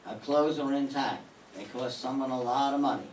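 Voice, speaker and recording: A man's voice: mid-range voice, normal speed, close to an everyday voice. Older guy doing a dry sardonic private eye, old radio show style, roomy and far off. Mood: narrating. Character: hard-boiled male private detective, dry and sardonic. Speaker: amateur radio play performer. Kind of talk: 1940s radio acting. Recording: echoey room from far off, faint hiss.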